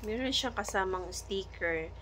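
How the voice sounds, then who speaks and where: A woman's voice: medium pitch, unhurried, fairly bright tone, slight background noise. A Filipino woman chatting casually in an unboxing vlog, thinking aloud and mixing in English words. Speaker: vlogger.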